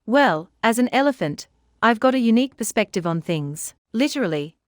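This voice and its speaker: A woman voicing a witty, playful elephant in scripted children's comedy, chatty and teasing with clear wording. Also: fairly high pitch, animated, moderate pace, no echo, clean studio sound, close to an everyday voice. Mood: playful, coaxing. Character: witty, playful talking elephant who gives reading tips.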